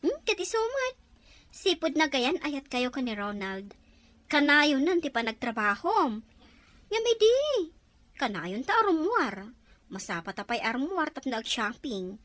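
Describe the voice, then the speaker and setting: A woman's voice: high-pitched, big pitch swings, a little slow, a bit bright, no room sound, exaggerated voice. A woman playing a chatty young friend in an audio drama, teasing and playful. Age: young adult. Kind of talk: scripted audio drama dialogue.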